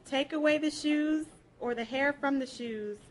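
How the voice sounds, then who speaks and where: A woman's voice: high voice, measured pace, slight background noise. A woman reading folksy prose aloud at a live program, with a playful, colloquial storytelling delivery. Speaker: public reader at a library program.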